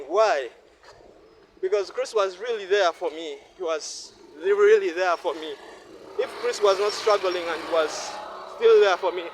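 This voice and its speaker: A man in his twenties from Uganda, talking to himself in a repetitive, preachy monologue while walking a city street at night, sweaty from walking fast. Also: high voice, a little hiss or hum, poor audio. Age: 20s. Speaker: vlogger. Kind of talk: rambling night-walk monologue.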